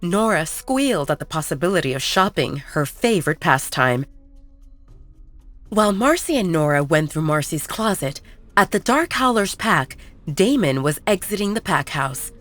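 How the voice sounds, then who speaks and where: Low-pitched woman narrating romantic fiction. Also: big pitch swings, moderate pace, fairly bright tone, soft background music. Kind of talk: scripted narration of a romance audio story.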